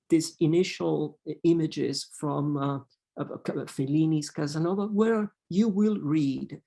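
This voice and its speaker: Slow, gravelly Italian-accented male lecturer. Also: fairly high pitch, warm tone. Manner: hesitant, many fillers, reads verse slowly. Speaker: literature professor. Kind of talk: lecture with poetry reading.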